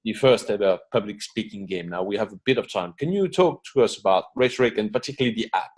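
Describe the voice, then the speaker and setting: A man's voice: fairly low pitch, expressive, quick pace, breathy edge. A man moderating an online meeting in a casual way, with informal, somewhat hesitant phrasing.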